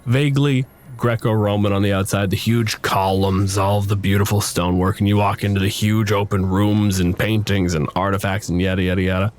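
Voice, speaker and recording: A man's voice: fairly low pitch, level tone, brisk, few pauses, darkish tone. A man improvising tabletop game narration as game master in a casual, wry, conversational way.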